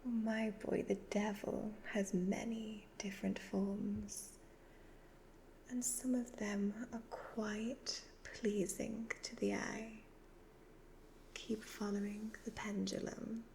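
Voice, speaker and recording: Woman doing an evil seductive witch for asmr, half whispering, creaky n really slow, gloating. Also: medium pitch, level tone, darkish tone, poor audio. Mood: sinister, hypnotic. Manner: slow, deliberate, hypnotic, condescending. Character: evil ageless witch, youthful-looking, gloating, seductive, predatory. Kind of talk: soft-spoken ASMR roleplay. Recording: faint background noise.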